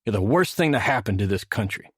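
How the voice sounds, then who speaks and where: A man's voice: fairly low pitch, expressive, fast, husky, no room sound, studio quality. A man voicing a huge, hostile, agitated working man in an audiobook, blunt and confrontational. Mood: angry, accusing. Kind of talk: acted audiobook dialogue.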